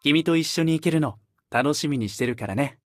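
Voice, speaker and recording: A man playing a courteous young gentleman, polite, soft and affectionate, gently persuasive as a game character. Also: a little high, racing, natural voice. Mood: fond, inviting. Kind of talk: character voice acting.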